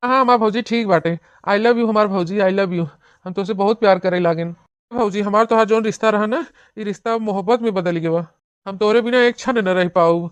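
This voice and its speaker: Actor as lovesick young cartoon village man, Awadhi accent, smooth clear voice, wheedling, dry recording. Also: mid-range voice, normal speed, no room sound, close to an everyday voice. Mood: adoring. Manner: wheedling, gushing. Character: lovesick, pleading young village brother-in-law. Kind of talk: cartoon comedy acting in rural dialect.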